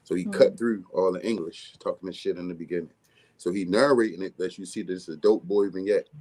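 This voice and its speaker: A man from with a deep, bass-heavy, mumbly voice, talking casually as a music artist guest in an interview. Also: a little fast, darkish tone. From Baltimore, USA. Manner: mumbly, laid-back streetwise delivery.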